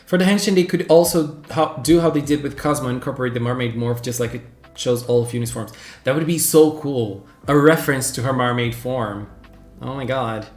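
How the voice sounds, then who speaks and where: A man whose first language is Portuguese, hosting a casual livestream and chatting with viewers in an animated, gushing way, squealing greetings. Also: medium pitch, fairly quick. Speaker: livestream host. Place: home room. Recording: echoey room with faint background music.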